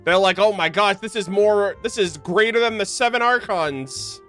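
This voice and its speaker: A man's voice: high-pitched, moderate pace, a bit bright. A man streaming a game with casual, slangy, joking reactions. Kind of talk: casual live game commentary. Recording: game music behind the voice.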